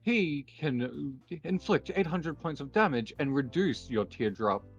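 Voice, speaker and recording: A man talks slowly and with understatement, casually working through his turns as a player in a roleplay card game. The recording is dull and lo-fi, with faint music behind. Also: a little high, lively intonation. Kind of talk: casual gameplay talk in a roleplay duel.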